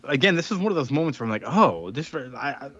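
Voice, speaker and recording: A man talks through a comic book plot in a loose, rambling way, full of ums and restarts, and slips into quick voices for the characters. His middle-pitched voice sounds dry and a little dull, as if the mic cuts off the top end. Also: big pitch swings, fairly bright tone, no room sound. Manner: rambling, many ums and restarts, acts out quotes. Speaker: podcast co-host. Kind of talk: casual comic book recap.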